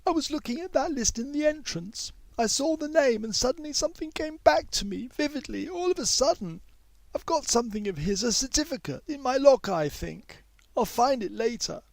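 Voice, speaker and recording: An earnest, flustered young woman played by a man reading a story. The voice sits very high and lilts along eagerly and hesitantly. The recording is dry and close. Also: lively intonation, moderate pace, gravelly, no echo, heavily acted. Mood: urgent, excited.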